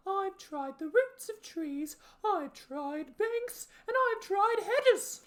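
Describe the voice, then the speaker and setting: A frantic storybook pigeon, with an extremely high, piercing voice. It scolds slowly and indignantly, stretching words into suspicious, shrieking complaints. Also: female voice, expressive, a bit bright, exaggerated voice. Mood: exasperated, sobbing. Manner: shrieking, drawn-out, scolding delivery. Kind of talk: storybook character voice.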